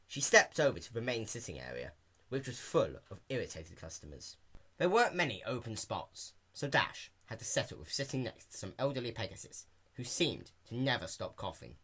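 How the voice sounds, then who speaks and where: Male amateur storyteller, very lively pitch movement, bright forceful tone, scripted narration. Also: fairly quick, breathy edge. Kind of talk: animated fan-fiction narration.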